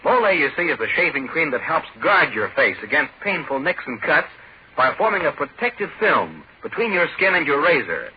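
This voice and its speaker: A man's voice: a little high, big pitch swings, moderate pace, slightly rough. A man reading a scripted 1940s radio advertisement as a commercial announcer. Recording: muffled 1940s radio recording.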